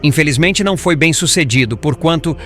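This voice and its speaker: Man voicing a solemn compassionate male spirit mentor, fairly high pitch, preaching audiobook delivery, soft music behind. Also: a little fast, lightly acted. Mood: grave, explanatory. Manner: formal, preaching, elevated diction. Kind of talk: scripted audiobook character reading.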